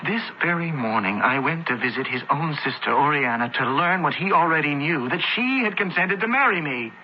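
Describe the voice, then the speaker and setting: A man's voice: on the high side, lively intonation, fairly quick, lightly acted. An actor playing a bewildered, anguished young man accused of a crime, with earnest, formal diction in an old-time radio drama. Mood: pleading, indignant. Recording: muffled, hissy old radio recording.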